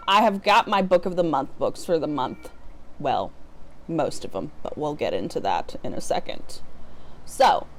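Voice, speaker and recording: A woman chatting casually to viewers as a book vlogger, talking in an informal, self-deprecating way. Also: fairly low pitch.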